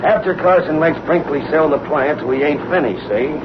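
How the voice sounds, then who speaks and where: An actor playing a tough, greedy truck driver crook with slangy speech in an old-time radio drama. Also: male voice, fairly high pitch, normal speed, lightly acted. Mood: cocky, scheming. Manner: slangy, ungrammatical tough-guy talk. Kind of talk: 1930s-40s radio crime drama acting. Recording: muffled, noisy old radio recording.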